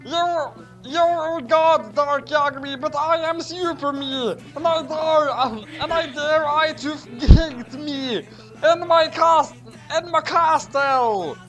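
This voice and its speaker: A man's voice: extremely high pitch, takes it slowly, fairly bright tone, soft background music, poor audio. A man reading a story aloud theatrically and chatting casually with friends. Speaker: co-host reading fan fiction aloud.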